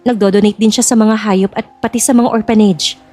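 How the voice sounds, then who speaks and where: A woman's voice: mid-range voice, steady tone, a little fast, warm tone, faint music behind, lightly acted. A woman playing an earnest, guarded young bride-to-be in a Filipino audio drama, speaking conversationally. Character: Jasmine, worried young bride-to-be of a rich old man.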